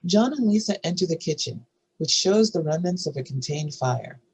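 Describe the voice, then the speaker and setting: A woman with a husky voice reading screenplay stage directions as a narrator. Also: sits low, expressive, normal speed, a little mellow, slight background noise, low-quality recording.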